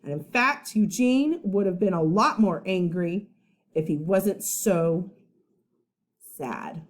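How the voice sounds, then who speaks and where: Animated woman reading a children's story. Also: fairly low pitch. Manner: expressive, pauses to ask listeners questions. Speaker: first grade teacher. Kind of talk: children's story read-aloud.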